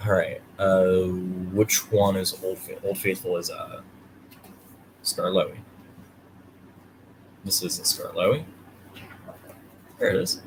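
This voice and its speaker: A man's voice: low-pitched, steady tone, takes it slowly, long pauses, background noise. A man hosting and reading a children's bedtime story aloud. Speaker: storyteller and host.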